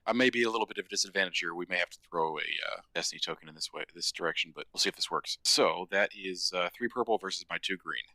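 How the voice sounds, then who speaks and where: A man's voice: sits low, fast, hardly any pauses, sharp tone, dry recording. A man playing a tabletop roleplaying game, chatting casually and explaining his moves in a thoughtful way.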